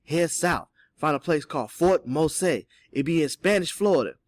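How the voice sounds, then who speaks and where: A man's voice: a little high, lively intonation, moderate pace, no room sound, clean studio sound, pitched above the performer's own voice, lightly acted. A man voicing an old enslaved Southern man in an audiobook, sly and hopeful, giving urgent, folksy counsel. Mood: cautioning. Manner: whispering Southern dialect speech, urgent and folksy.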